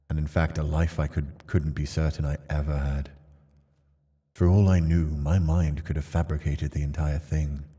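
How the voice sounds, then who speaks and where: A man reading aloud in the first person as a numb, brooding young nobleman exiled to a mansion, in a measured, subdued way. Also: rumbling low, mellow, breathy edge, big-room sound. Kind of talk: reading game text aloud.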